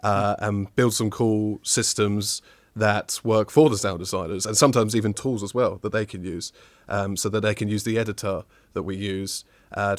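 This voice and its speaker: A man's voice: fairly low pitch, dry recording. A young man from just outside southwest London, with a southern English accent, chatting casually and upbeat as a guest. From England, outside southwest London. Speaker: guest, technical audio designer. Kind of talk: casual interview chat.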